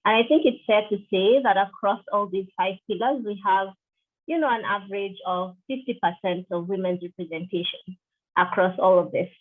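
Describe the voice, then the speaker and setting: Woman from Kenya on a tinny line. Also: medium pitch. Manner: measured, with frequent fillers and restarts. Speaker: interviewee, deputy country director. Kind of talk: video call interview. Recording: tinny call audio.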